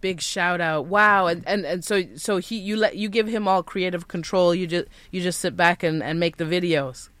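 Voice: mid-range voice, fairly even intonation, normal speed, hardly any pauses, a bit bright, no echo. An interview host asking questions with hyped, enthusiastic slang exclamations. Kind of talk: casual interview.

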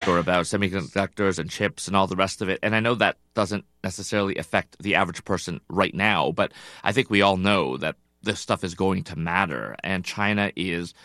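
Low-voiced Canadian man explaining. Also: a little fast, sharp tone. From Toronto, Canada. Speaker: interview guest, China analyst. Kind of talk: conversational explanatory commentary.

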